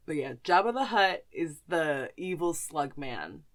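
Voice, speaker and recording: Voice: medium pitch, lively intonation, unhurried, a bit bright. A podcast host in casual fan chat, gushing and slangy, with frequent swearing.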